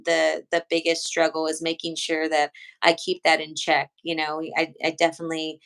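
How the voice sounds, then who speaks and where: A woman from San Antonio, a coach, answers questions in a bright, smooth voice that stays fairly flat and low. She talks earnestly and loosely, with plenty of ums and restarts. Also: moderate pace. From San Antonio, Texas. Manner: earnest, rambling with many fillers and restarts. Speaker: head coach, interview guest. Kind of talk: casual interview answers.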